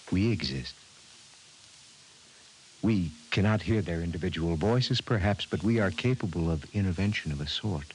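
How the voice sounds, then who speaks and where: Philosophical professor, very low voice, solemn and humble, 1950s radio drama, slight hiss. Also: male voice, rough recording. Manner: solemn, measured oratory. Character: humble, reflective male scientist professor. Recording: hissy vintage 1950s radio recording.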